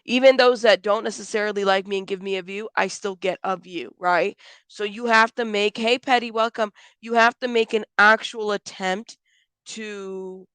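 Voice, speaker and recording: A woman hosting a live commentary stream, stuffed up and a little hoarse, talking bluntly and chatting with viewers by name. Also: mid-range voice, fairly even intonation, normal speed, fairly bright tone, no echo. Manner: blunt, frank, calls people out, swears; chatty, greets viewers by name, many fillers. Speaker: YouTube commentary host.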